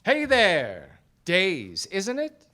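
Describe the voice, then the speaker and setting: A man playing a wry, deadpan 1940s detective in a radio play, narrating his case and trading wisecracks. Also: high voice, very slow, exaggerated voice. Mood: wry, probing. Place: stage before a studio audience. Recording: live radio play with music behind.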